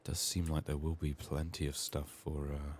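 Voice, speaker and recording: A man softly narrating his video game in an ASMR style, musing to himself in a hushed, slightly strained, under-the-weather voice. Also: rumbling low, flat delivery, moderate pace, a little hiss or hum. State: sick, strained voice. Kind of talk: soft-spoken, partly whispered ASMR game commentary.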